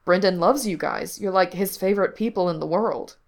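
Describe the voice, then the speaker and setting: A woman's voice: lowish voice, brisk, natural voice. A woman voicing a caring, patient father figure in a story, speaking frankly and informally. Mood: worried, gentle. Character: caring, patient fatherly man, gently concerned.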